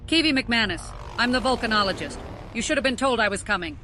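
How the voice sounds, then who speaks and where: A woman's voice: fairly high pitch, animated, fast, bright, forceful tone, faint music behind. A woman playing an assertive, unflappable volcanologist, speaking in a matter-of-fact, self-assured way for an audiobook. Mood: cool, brisk. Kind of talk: audiobook character dialogue.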